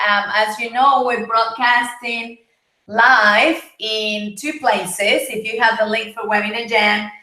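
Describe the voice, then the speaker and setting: Chatty woman from Mexico, husky voice, fairly high, echoey room; live-stream host. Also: pushed and bright. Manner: chatty, greets viewers by name. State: husky, voice partly lost. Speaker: livestream host. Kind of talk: casual live broadcast chat. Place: home, Gold Coast.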